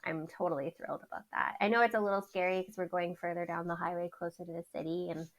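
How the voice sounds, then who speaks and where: Chatty woman with a gravelly edge. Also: fairly even intonation, quick pace. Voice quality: says her voice is high. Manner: chatty, laughs often between answers. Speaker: podcast guest. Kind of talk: casual interview chat.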